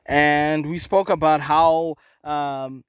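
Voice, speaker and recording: Man from Zimbabwe, casual comic chat, slightly high voice, dry outdoor sound. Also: fairly even intonation, slow pace, clear, resonant tone, no room sound. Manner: chatty, laughing, goes on riffs. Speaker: podcast co-host. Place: outdoors in open dry field.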